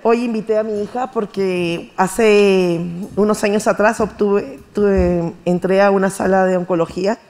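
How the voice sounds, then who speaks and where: A woman's voice: mid-range voice, steady tone, a little slow, hardly any pauses, a little mellow. A middle-aged woman in a theater audience speaking earnestly into a handheld mic. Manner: heartfelt, earnest. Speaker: audience member. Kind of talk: speaking to the hosts from the crowd.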